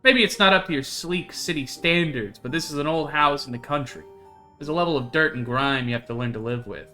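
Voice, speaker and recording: A man's voice: on the high side, brisk, sharp tone, soft background music. A man voicing a curt, haughty woman from the country in a visual novel, clipped, dry and condescending. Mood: haughty, irritated. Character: curt, haughty, possessive country woman showing a guest around. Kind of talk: reading visual novel dialogue aloud.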